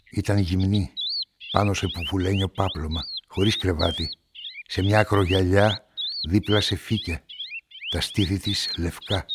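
An actor playing a brooding, lovelorn narrator of a radio crime story, measured and reflective. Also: male voice, low-pitched, noisy background, lightly acted. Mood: dreamy, reflective. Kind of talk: scripted first-person radio drama narration.

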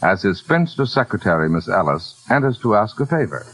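A man's voice: fairly low pitch, big pitch swings, brisk, poor audio. An American man announcing and narrating a 1940s radio drama in a formal, dramatic style. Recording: vintage 1940s radio with loud music. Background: loud music.

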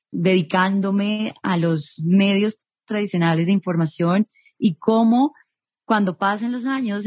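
Colombian woman in her thirties as a thoughtful interview guest, average pitch, dry recording. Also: a little mellow, no echo. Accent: Colombian Spanish. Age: 30s. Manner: thoughtful, reflective, rephrasing as she goes. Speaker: guest, journalist. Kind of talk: interview conversation.

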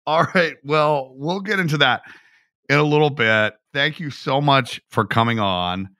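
A man's voice: fairly high pitch, a little slow, bright, forceful tone, dry recording, studio quality. A man hosting a podcast, asking questions in a playful, joking manner. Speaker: podcast host. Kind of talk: casual interview.

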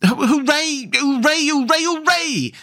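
A man voicing an excitable, bossy but kind-hearted male rat in a storybook, with an emphatic manner. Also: a little high, moderate pace, pitched above the performer's own voice, full character voice. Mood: elated. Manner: exclamatory, impatient, emphatic. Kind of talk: storybook character voice.

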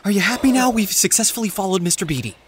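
A woman playing a sarcastic, protective teenage sidekick in a naturalistic audio drama, wry and sometimes halting. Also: medium pitch, expressive, slight background noise, lightly acted.

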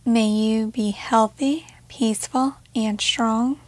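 A woman leading a guided meditation in a gentle, measured way, leaving pauses between short phrases. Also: a little high, steady tone, no echo.

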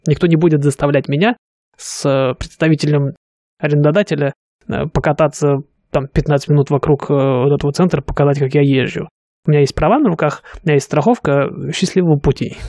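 A man's voice: a little high, quick pace, warm tone, dry recording, clean studio sound. Reflective man chatting on a podcast. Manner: reflective, enthusiastic, thinks aloud with fillers. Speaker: podcast co-host, student pilot. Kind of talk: casual podcast chat.

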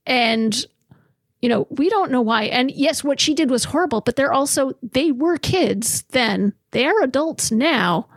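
A woman from Massachusetts with a husky, creaky voice, co-hosting a casual film discussion podcast. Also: high voice, lively intonation, a little slow, no room sound, clean studio sound. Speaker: podcast co-host.

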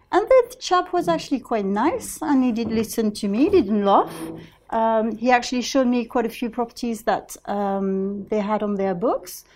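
A woman's voice: mid-range voice, darkish tone. A woman with a French accent, a guest on an interview, speaking hesitantly with many restarts. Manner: hesitant, many restarts and fillers, laughs easily. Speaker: guest, property investor. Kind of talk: casual interview chat.

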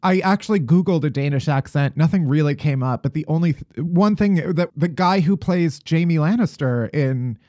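A man's voice: fairly high pitch, moderate pace, few pauses, dark tone, dry recording, clean studio sound. A man from Chicago hosting a podcast, joking in a playful, sarcastic way and swearing casually. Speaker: podcast host. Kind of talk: casual comedic chat.

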